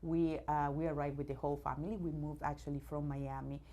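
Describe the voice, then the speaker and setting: Woman, from Venezuela, English speaker, low voice, hesitant restarts, enthusiastic, CEO interviewee. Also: little pitch movement, a little fast, warm tone. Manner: many fillers and restarts, searching for words; enthusiastic about her subject. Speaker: robotics company CEO, guest. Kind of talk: news interview.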